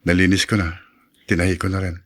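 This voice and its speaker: Serious filipino doctor in a radio drama, lowish voice, composed, earnest, dutiful. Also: male voice, little pitch movement. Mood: firm, composed. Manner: measured, earnest. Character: dutiful, firm, composed male village doctor.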